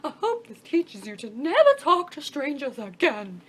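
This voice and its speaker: A woman's voice: high-pitched, big pitch swings, moderate pace, fairly bright tone. A woman reading a storybook aloud and voicing a frail, elderly grandmother sick in bed, with a quavering, fussy manner. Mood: fretful.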